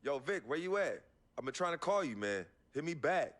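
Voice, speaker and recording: A man's voice: fairly high pitch, very animated, fairly quick. An actor playing a laid-back young male basketball star in a video game, talking in a casual, slangy way. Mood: casual, worried. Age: young adult. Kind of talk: cinematic sports game dialogue.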